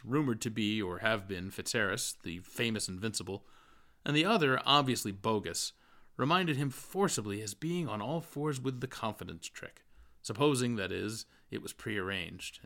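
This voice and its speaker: A man's voice: lowish voice, a bit bright. A man reading literary prose aloud as a scripted audiobook narration. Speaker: audiobook reader.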